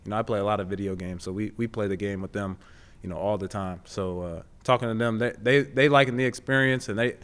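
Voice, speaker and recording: A man in his twenties, a pro athlete answering interview questions in a halting, conversational way with repeated words. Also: lowish voice, fairly bright tone, smooth. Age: 20s. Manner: halting, with repeated words and frequent "you know". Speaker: professional football player being interviewed.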